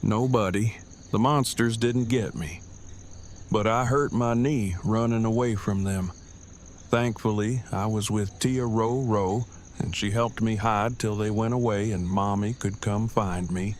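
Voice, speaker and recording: A man playing a gentle, reassuring survivor father with slightly stilted speech in an audio drama. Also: fairly low pitch, steady tone, measured pace, a little hiss or hum, lightly acted. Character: formal-worded, gentle survivor father with an injured knee.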